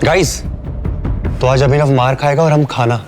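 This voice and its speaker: A man's voice: medium pitch, steady tone, music in the background, lightly acted. Man acting an arrogant rich young bully, mocking. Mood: gloating. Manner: jeering, theatrical baby-talk taunts, drawn-out words. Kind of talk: Hindi audio drama acting.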